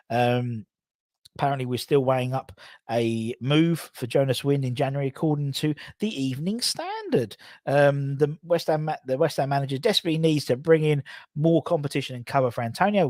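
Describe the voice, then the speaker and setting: A man's voice: mid-range voice, darkish tone, no room sound, clean studio sound. A young man hosting a fan channel, chatting casually and rambling with asides. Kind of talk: casual live-stream chat.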